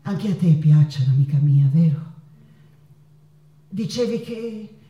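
A passionate woman painter baring her love life on stage. She speaks slowly and intimately, halting and repeating herself, with playful teasing. The sound is echoey and a little far from the mic, with faint music. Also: low voice, lively intonation, mellow, lo-fi sound. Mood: playful, wistful. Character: Frida Kahlo, passionate woman painter confiding about her lovers. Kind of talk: theatrical stage monologue with dramatic pauses.

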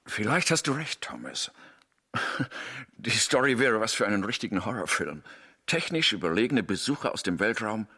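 An actor in a German radio play as a suspicious, scheming astronaut, speaking in an insistent, conspiratorial way with a husky voice. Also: male voice, fairly low pitch, big pitch swings, moderate pace, bright, forceful tone, heavily acted. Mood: brooding.

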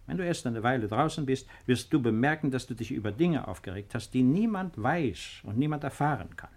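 An actor playing a principled middle-aged father and estate owner in 1950s radio drama style, speaking in measured, deliberate, earnest phrases. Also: medium pitch, expressive, darkish tone. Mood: calm, earnest.

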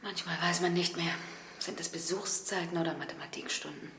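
An actress playing a stern but caring hospital doctor in a radio crime drama, speaking frankly and emphatically. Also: female voice, on the low side, fairly even intonation, brisk, a bit bright, a little hiss or hum. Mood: exasperated. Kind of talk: 1970s German radio crime drama acting. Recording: echoey, reverberant room.

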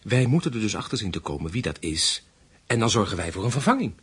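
A greedy, flippant accomplice in a radio play. He talks quickly in a low, very husky voice, breezy and sardonic, with lively swings of pitch. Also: full character voice. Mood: sly. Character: greedy, flippant criminal accomplice named Maurice. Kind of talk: radio drama acting.

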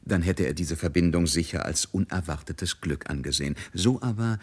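Male narrator for a radio drama, deep n husky, reading literary story bits. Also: animated, moderate pace, a little mellow.

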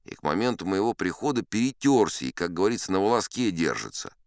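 Male actor as old sea captain, very low voice, lively swooping intonation, boastful yarn-spinner, theatrical audiobook storytelling. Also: vocal fry, clear, resonant tone, no room sound, clean studio sound, full character voice. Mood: dramatic, suspenseful. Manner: theatrical, conversational asides, dramatic pauses and swells.

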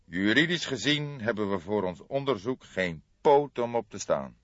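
A man voicing a calm, sombre old police inspector in an audiobook, speaking in a measured, thoughtful way. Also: lowish voice, dry recording, highs cut off, pitched above the performer's own voice, lightly acted.

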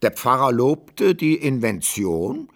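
An actor playing a learned baroque author who narrates a literary radio drama in a wry, bookish manner. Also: male voice, medium pitch, sing-song, gravelly, heavily acted. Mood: wry, measured. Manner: measured, bookish storytelling.

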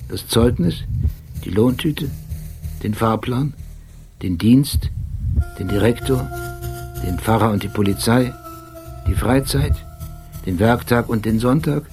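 An actor playing an obsessive, brooding police detective who narrates a radio play in a deliberate, precise, philosophical manner. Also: male voice, medium pitch, darkish tone. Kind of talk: radio play acting and literary monologue. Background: music.

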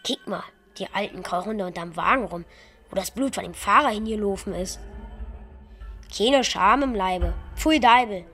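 An actress playing an excitable, bossy neighbour woman in postwar Germany, blunt and exclamatory in a radio drama. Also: mid-range voice, normal speed, faint music behind, lightly acted. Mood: disgusted.

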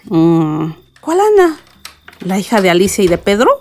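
An actress playing a doting, fretful middle-aged village mother with a Mexican accent, exclamatory and expressive, in a radio drama. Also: medium pitch, moderate pace. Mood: teasing delight.

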